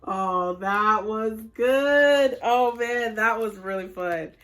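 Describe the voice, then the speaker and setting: A woman's voice: medium pitch, slow pace, a bit bright. A woman hosting a preschool storytime, playfully talking straight to the young children. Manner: playful, addresses children directly.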